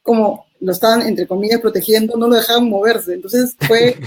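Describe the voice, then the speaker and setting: Animated woman telling a story. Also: on the high side, a little fast, a little hiss or hum. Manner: animated, laughing as she tells the story. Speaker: journalist guest. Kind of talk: conversational storytelling.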